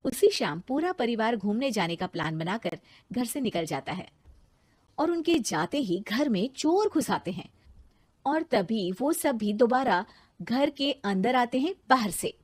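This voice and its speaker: A woman narrating a cartoon story and voicing a cheeky young heroine, in a lively way. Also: on the high side, a little slow, husky, dry recording. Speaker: narrator and lead voice.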